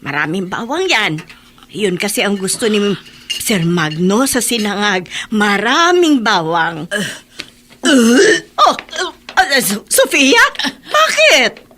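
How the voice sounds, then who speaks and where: Older housemaid, Filipino accent, animated swooping pitch, folksy, fussy, exclaiming, deferential, radio drama. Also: female voice, takes it slowly, a little hiss or hum, full character voice. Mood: concerned, surprised.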